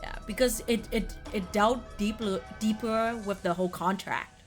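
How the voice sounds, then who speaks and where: A woman's voice: medium pitch, steady tone, normal speed. A woman hosting a casual, chatty discussion of video games. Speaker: host of a gaming tier list video. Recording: music playing behind the talk. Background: background music.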